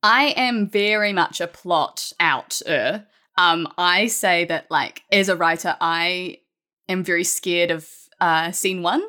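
A woman's voice: fairly low pitch, slow pace, bright, forceful tone. A woman talking casually as a writer guest on a podcast, rambling and correcting herself as she goes. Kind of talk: casual panel chat.

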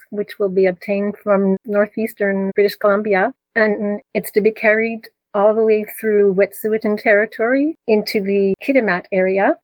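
Older French-native woman, 60s, calm and steady; dry, close recording. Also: mid-range voice, no room sound, low-quality recording. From Canada. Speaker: guest, retired speech pathologist. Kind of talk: interview answers.

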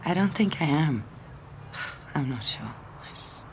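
A woman's voice: low-pitched, lively intonation, slow, noisy background, exaggerated voice. An actress playing a guarded, vulnerable woman in a naturalistic audio drama, hesitant in manner. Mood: reluctant, sad. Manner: hesitant, sighing.

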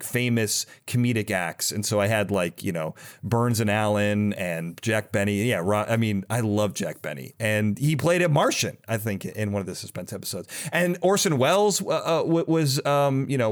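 A man hosting a podcast, chatting casually and enthusiastically with rambling, conversational asides. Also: fairly low pitch. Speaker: podcast host. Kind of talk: casual enthusiastic interview chat.

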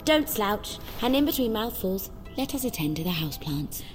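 A woman voicing a calm, controlling female AI assistant that gives precise, soothing commands in an audio drama. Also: medium pitch, moderate pace, over music, lightly acted. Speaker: narrator and AI voice.

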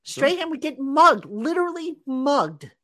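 A woman's voice: high-pitched, sing-song, measured pace, a bit bright. Chatty New Jersey woman hosting a podcast. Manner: chatty storyteller, frequent restarts. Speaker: podcast host. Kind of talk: casual sports podcast chat.

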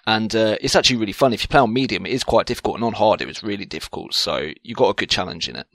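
A man's voice: lowish voice, animated, rapid-fire, a bit bright, no echo. A British man chatting informally and with slang as co-host of a video game podcast. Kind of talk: casual video game chat.

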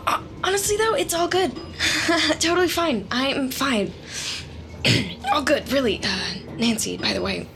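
Nervous eager young neighbor lady in an audio drama, highish voice, stammers, music behind. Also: lively intonation, a little slow. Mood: flustered, apologetic. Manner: nervous, stammering, over-polite rambling. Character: awkward, eager, lonely young woman, obsessive neighbor. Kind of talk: naturalistic audio drama acting.

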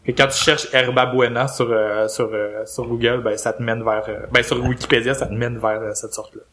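A man's voice: mid-range voice, level tone, fairly quick, a bit bright. A man with a Beauce Quebec French accent, co-hosting a casual podcast chat and rambling with many fillers and restarts. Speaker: podcast co-host.

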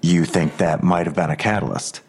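An actor playing a gruff, terse veteran police detective in an audio drama, with clipped questions in a low, husky voice. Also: male voice, fairly even intonation, moderate pace, darkish tone, a little hiss or hum. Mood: terse, probing.

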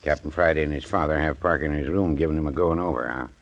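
An actor playing an old country doctor in a 1940s radio drama, folksy and soothing, with a chuckling drawl. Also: male voice, very deep voice, fairly even intonation, quick pace. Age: older. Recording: dull vintage radio recording.

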